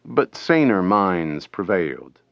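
A man reading an audiobook as dramatic scripted narration, deliberate and theatrical, close to the microphone. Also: deep voice, big pitch swings, no room sound, lo-fi sound.

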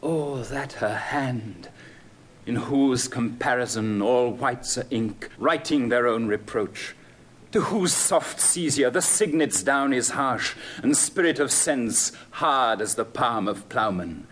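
An actor playing a lovesick, impatient young Trojan prince in classical Shakespearean verse, with impassioned, declamatory delivery. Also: male voice, mid-range voice, slow, fairly bright tone. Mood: anguished longing. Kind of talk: classical Shakespearean stage acting.

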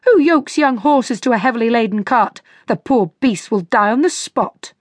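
A shrill, blustering old shoemaker who finds fault with everything, as a woman puts him on. He rants in a high, nagging voice and scolds everyone near him. The storybook reading sounds close and dry. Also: animated, moderate pace, dry recording. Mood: ranting. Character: grumpy fault-finding old male shoemaker.

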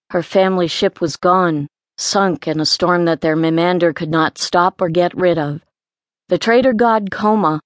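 A woman narrates a children's fantasy audiobook in a scripted storytelling style. Her voice sits somewhat low for a woman. The sound is very dry, with no room around it. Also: no room sound.

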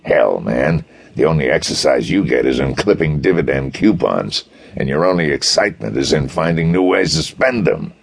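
A man voicing a gruff, exasperated federal police chief, blunt and grumbling, with a gravelly voice, in a pulp detective audiobook. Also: extremely low pitch, lively intonation, moderate pace, exaggerated voice. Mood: grumbling envy.

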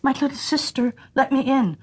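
A woman's voice: high-pitched, moderate pace, darkish tone, husky, voice pushed up. A woman voicing an eager, restless young male deer in a fairy tale, earnest and impulsive. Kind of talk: children's fairy tale storytelling.

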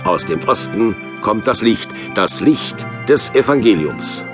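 Deep-voiced doctor telling bible adventures, measured n thoughtful, music underneath. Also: male voice, animated. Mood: solemn, narrating. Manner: measured, reflective storytelling. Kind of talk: scripted storytelling narration for a children's audio drama.

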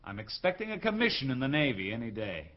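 A man's voice: medium pitch, sing-song, fast talker, highs cut off. An actor playing an irritable, evasive playboy in a hardboiled radio drama, impatient and dismissive in his talk. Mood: irritated, dismissive.

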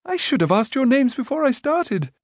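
A man's voice: very high-pitched, big pitch swings, moderate pace, dry recording, studio quality, voice pushed up, heavily acted. A man doing a chirpy, scatterbrained young prince. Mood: breezy. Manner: bright, chattering, breezy. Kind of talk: audiobook character voice.

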